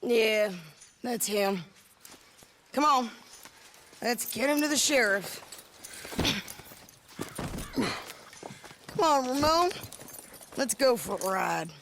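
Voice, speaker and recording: Casting: hard-bitten female bounty hunter, American, slightly high, unhurried, lively pitch. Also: gravelly, noisy background, exaggerated voice. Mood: hard-nosed. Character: tough, brash female bounty hunter of the Old West. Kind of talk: video game western acting.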